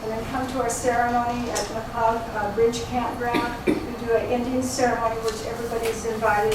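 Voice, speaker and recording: A woman's voice: on the high side, background noise. Woman, earnest community speaker, far mic, heavy room echo. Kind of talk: community talk. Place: library meeting room with crowd. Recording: very echoey, distant camera recording.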